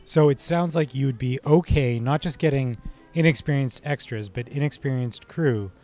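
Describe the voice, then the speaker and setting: A man hosts an interview. His voice sits at a middle pitch, and he puts his questions in a conversational, podcast way. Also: normal speed, darkish tone, faint music behind.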